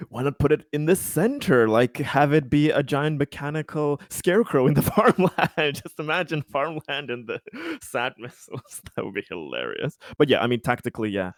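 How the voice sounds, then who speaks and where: A man's voice: fairly high pitch, big pitch swings, no room sound, studio quality. A man playing a tabletop game, chatting casually and jokingly, often restarting his phrases. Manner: jokey, quick to chuckle, restarts phrases.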